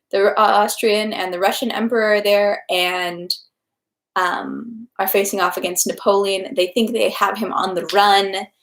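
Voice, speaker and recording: Woman livestream host, echoey room. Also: medium pitch. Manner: informal, rambling, self-interrupting. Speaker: host of a read-aloud livestream. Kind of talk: casual livestream chat, then audiobook-style reading.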